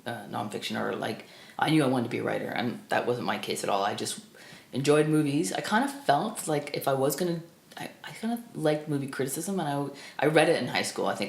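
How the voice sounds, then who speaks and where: A woman in her fifties from Canada, whose first language was Estonian, recalling her life in a reflective, halting way with many restarts. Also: very deep voice, vocal fry. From Burlington, Ontario, Canada. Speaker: film critic guest. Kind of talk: reflective personal storytelling. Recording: echoey room.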